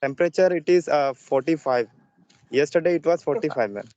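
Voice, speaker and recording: A man's voice: on the high side, fairly quick, dark tone, dry recording, highs cut off. Hesitant young man from Jharkhand, native Santhali speaker. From Jharkhand, India. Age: young adult. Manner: hesitant, many fillers, polite "ma'am". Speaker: student, English learner. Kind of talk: video call conversation practice. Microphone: video call.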